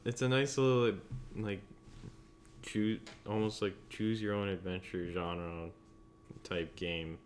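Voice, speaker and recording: A man with a deep voice talks casually as a panelist. His delivery is tentative and halting, with faint noise in the background. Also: fairly even intonation. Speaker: roundtable panelist. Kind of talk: casual chat.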